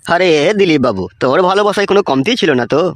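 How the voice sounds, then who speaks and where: Man voicing a rapid-fire, high-pitched cheeky villager. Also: lively intonation, no room sound, clean studio sound, close to an everyday voice. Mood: probing. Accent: rural West Bengal. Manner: crude, swearing, teasing and insistent. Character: cheeky foul-mouthed village man, nosy advice-giving friend. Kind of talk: comic cartoon dialogue.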